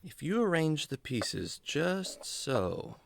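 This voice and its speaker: A man acting as a lively, kindly adventurer mentor. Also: a little high, slow pace, creaky, exaggerated voice. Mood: playful. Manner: gentle, earnest, explanatory. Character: kindly adventurer man, patient storytelling mentor to animal buddy. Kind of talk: children's radio drama acting.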